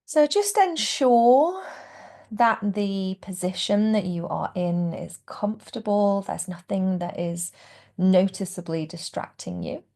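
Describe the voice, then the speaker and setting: A woman guiding a relaxation meditation as a yoga nidra teacher, speaking gently and without hurry, with phrases that trail into a hush. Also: mid-range voice, lively intonation. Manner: gentle, unhurried, hushed phrase endings. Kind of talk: guided meditation.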